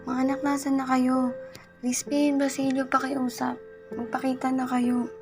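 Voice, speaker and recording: A woman's voice: high-pitched, monotone, moderate pace. A woman playing a distraught, desperate mother in a radio play, pleading and calling out for her lost sons. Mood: pleading, worried. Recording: music behind the voice.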